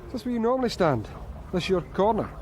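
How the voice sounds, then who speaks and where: An actor playing a police detective in a radio drama, calm and dry as he questions a witness. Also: sits high, fast talker, slight background noise. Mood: probing. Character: Scottish police detective, patient and probing. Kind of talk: BBC radio drama acting.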